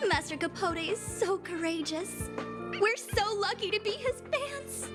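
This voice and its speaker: A woman's voice: extremely high pitch, expressive, unhurried, music in the background. A woman playing a gushing, devoted young fan club member in a video game, with a dramatic manner. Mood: adoring.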